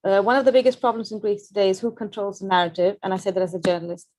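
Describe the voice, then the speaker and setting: A woman from Pakistan, a journalist, giving an earnest, measured talk over a video call. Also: medium pitch, fairly even intonation, darkish tone, low-quality recording. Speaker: journalist, panel speaker. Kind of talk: prepared webinar talk.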